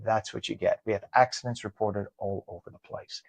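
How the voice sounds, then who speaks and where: Chatty New morning show host. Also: male voice, fairly low pitch, fairly even intonation, fairly quick, vocal fry, darkish tone, no room sound. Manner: chatty and conversational, frequent fillers. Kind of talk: live talk show and traffic report. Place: home studio.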